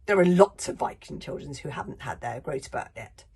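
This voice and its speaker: A woman's voice: very deep voice, expressive, moderate pace, a little mellow, breathy edge, full character voice. A woman voicing a big, bellowing Viking chief who blusters. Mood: blustering. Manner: roaring, blustering.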